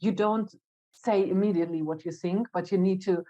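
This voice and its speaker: A woman with a German accent answering interview questions in a chatty way, laughing often and pausing with fillers. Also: medium pitch, warm tone, dull audio. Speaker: guest, marketing director.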